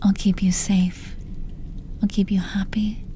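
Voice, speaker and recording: A woman playing a menacing yet tender, possessive supervillain in an intimate audio roleplay, speaking in a sultry, deliberate way with pet names for the listener. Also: medium pitch, little pitch movement, dark tone, slight background noise, lightly acted. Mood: intense hushed tenderness.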